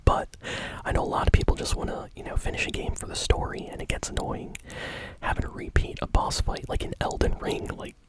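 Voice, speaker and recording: A person whispering casual, conversational gaming news in a soft, breathy ASMR style. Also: fairly quick.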